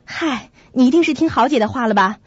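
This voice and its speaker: A woman playing a sensible, caring young flatmate who narrates and acts in a radio drama, with a husky, breathy voice. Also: high-pitched, quick pace, dry recording, dull audio. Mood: concerned.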